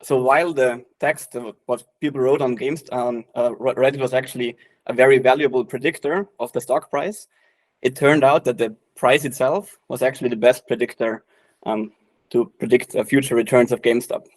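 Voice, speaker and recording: Relaxed man in his late twenties. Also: mid-range voice, normal speed. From Austria. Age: late 20s. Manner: relaxed, many fillers like "uh" and "yeah". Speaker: private investor guest. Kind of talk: casual interview answers.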